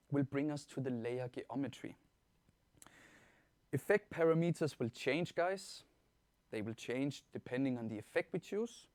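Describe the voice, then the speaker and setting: A man with a Danish accent giving an informal software tutorial as a webinar presenter, explaining things step by step in a reassuring way. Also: medium pitch, dry recording. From Denmark. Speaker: webinar presenter, product trainer.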